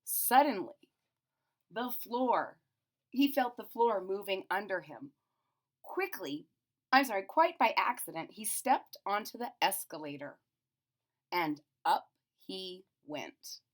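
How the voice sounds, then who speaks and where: Animated woman reading a children's story. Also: medium pitch, slow. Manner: simple, child-directed explanations. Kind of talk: animated children's read-aloud with explanatory asides.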